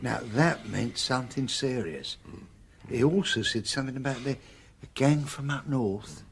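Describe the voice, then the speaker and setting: A British actor playing a nervous small-time crook and garage owner in a radio drama, hesitant and plain-spoken. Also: male voice, medium pitch, animated, moderate pace, vocal fry, slight background noise. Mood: uneasy.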